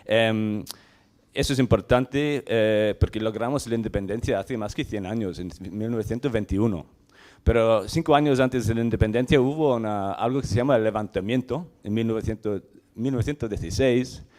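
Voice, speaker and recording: A man from Ireland, a farmer, giving a conference talk in a language that is not his own, halting and searching for words. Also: lowish voice, a little mellow. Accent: Irish. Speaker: farmer and panel speaker.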